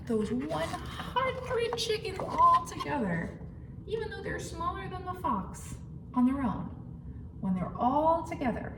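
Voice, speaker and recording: A woman reading a picture book aloud to young children in simple phrasing, with exaggerated suspense and questions to her listeners. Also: sits high. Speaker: children's storytime reader. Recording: roomy, distant mic, clearly noisy background.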